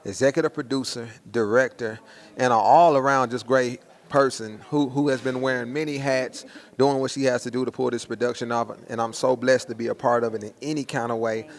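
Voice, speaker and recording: Male host, mid-range gravelly voice, casual street-slang promo interviews. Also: medium pitch, fairly even intonation, a little fast. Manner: hype, informal, slangy.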